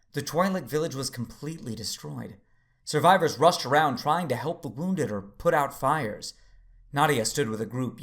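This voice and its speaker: An actor playing a steady, weary Jedi father who narrates his own story in an earnest, measured audio drama style. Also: mid-range voice, lightly acted. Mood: concerned, grave. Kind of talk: first-person dramatic narration and acting.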